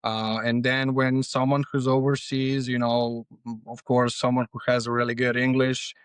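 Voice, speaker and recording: Man, Serbian accent, non-native speaker, clean resonant voice, flat intonation, podcast guest, conversational. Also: medium pitch, moderate pace, smooth, dry recording. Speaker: podcast guest, freight agent. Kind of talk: conversational interview answers.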